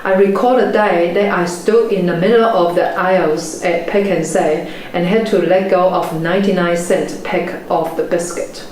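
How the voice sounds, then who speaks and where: A woman in her forties, a native Chinese speaker with a Chinese accent, giving a measured, earnest motivational talk as a property investment mentor. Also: fairly low pitch, steady tone, darkish tone. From China. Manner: measured, earnest storytelling delivery. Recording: very echoey room, faint background noise.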